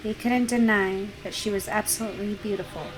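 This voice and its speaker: A woman's voice: mid-range voice, steady tone, fairly quick, music in the background, poor audio. A woman gaming host reading a visual novel aloud as narration.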